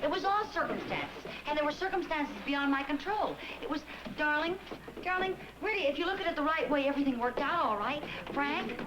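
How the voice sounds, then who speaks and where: An actress playing a flustered young wife who talks in a chatty, pleading rush. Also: female voice, sits high, lively intonation, a little fast, background noise. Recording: old TV sitcom with music behind.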